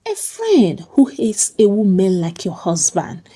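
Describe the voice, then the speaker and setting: A woman's voice: mid-range voice, wildly swooping pitch, a little slow, warm tone, husky, exaggerated voice. A woman voicing a bold, sassy, protective friend with an emphatic, teasing manner in a told story. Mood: fierce resolve.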